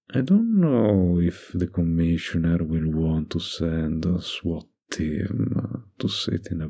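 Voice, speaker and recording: Man playing a slow, husky flirty mafia boss. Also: low-pitched, mellow, exaggerated voice. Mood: teasing, smug.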